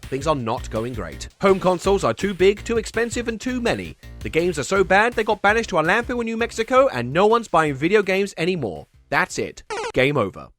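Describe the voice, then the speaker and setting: A man hosting a video essay, delivering scripted comedic narration in a wry way with deadpan asides. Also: sits high, a little fast, hardly any pauses. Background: music under the voice.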